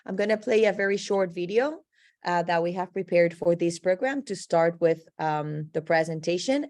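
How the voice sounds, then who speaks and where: A woman's voice: on the low side, a little mellow. A woman hosting a graduate program webinar over a video call, explaining at length with frequent um fillers. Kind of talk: informational presentation on a video call.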